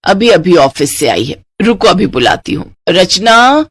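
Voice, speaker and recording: A woman's voice: fairly low pitch, big pitch swings, a little slow, breathy edge, no echo, studio quality, exaggerated voice. A woman voicing a bossy, nagging middle-aged mother-in-law in a cartoon story. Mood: fussy.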